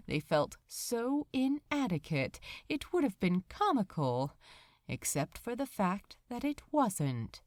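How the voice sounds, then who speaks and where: A woman reading a story aloud as narrator, with deliberate, dramatic phrasing. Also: mid-range voice, darkish tone, dry recording, clean studio sound. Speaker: audiobook-style fan fiction narrator. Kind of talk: expressive scripted story narration.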